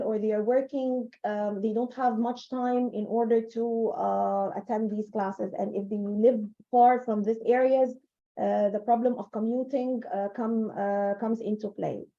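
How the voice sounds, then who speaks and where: A woman with an Egyptian accent gives an academic conference presentation of study results. She speaks at a measured, steady pace with many hesitant fillers. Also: fairly high pitch, muffled recording. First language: Arabic.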